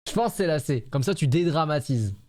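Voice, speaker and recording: A man's voice: fairly high pitch, lively intonation, quick pace, darkish tone. A man with a French accent, a game streamer giving casual, jokey, teasing live commentary. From France. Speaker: streamer playing a dating game.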